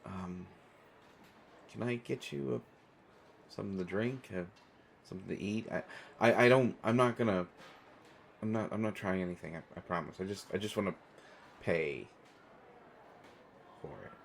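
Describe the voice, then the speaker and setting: A man's voice: on the low side, moderate pace, vocal fry, pauses often, slight background noise. A man playing a shy, awkward, kind stranded traveler in an audio roleplay, hesitant, stammering and apologetic. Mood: nervous, apologetic.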